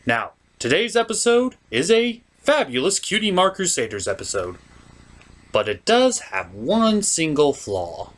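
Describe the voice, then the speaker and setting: A performer voicing a theatrical gentleman puppet host, reviewing a cartoon from a script with dramatic pauses and mock outrage. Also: mid-range voice, full character voice. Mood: grandiose.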